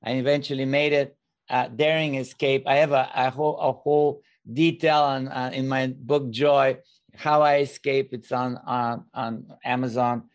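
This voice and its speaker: An older man from Bulgaria with a Bulgarian accent, a guest minister telling his story as a lively storyteller with dry humor and a few grammar slips. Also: mid-range voice, normal speed. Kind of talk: interview storytelling.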